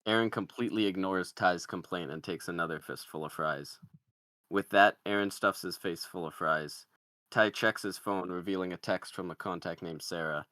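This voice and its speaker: Male screenplay narrator, very low register, dry sound, scripted comedy directions. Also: moderate pace, pushed and bright, dry recording.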